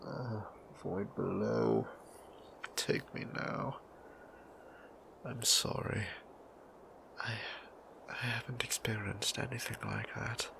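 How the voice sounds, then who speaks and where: A man voicing a shy, gentle, centuries-old vampire priest in an intimate ASMR roleplay, breathy and halting, with genteel old-fashioned wording and a flustered stammer. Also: exaggerated voice, low-quality recording. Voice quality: breathy, whispery, low.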